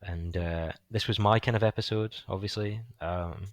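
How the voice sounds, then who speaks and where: Low-voiced young man, rambling and hoarse. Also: fairly even intonation, normal speed, no echo. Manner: rambling, filler-heavy, swears casually. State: voice getting hoarse. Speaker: podcast host. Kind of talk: casual film chat.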